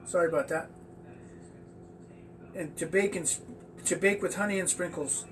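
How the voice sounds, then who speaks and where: Guy with a high voice reading a kids story, stumbles a bit, sounds away from the mic. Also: fairly even intonation, moderate pace. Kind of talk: reading a children's story aloud. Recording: distant mic with faint background noise.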